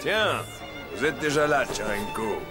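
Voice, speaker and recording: A man voicing a courteous, guarded gentleman in a French animated series. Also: mid-range voice, a little slow, lightly acted. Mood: cautioning. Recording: loud music behind the dialogue.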